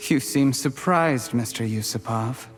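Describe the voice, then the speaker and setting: An actor playing a scheming, resentful young usurper in a video game, speaking in a smug, calculating, theatrical way. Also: male voice, mid-range voice, measured pace, over music, close to an everyday voice. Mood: bitter, vengeful. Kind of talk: dramatic villain monologue.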